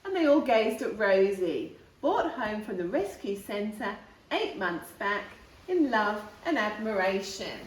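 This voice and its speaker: A woman hosting storytime, reading a picture book aloud in an expressive way, stretching key words for young listeners. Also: mid-range voice, slow. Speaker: storytime host reading a picture book to viewers and her dog. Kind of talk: animated children's story reading. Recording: roomy echo, distant mic.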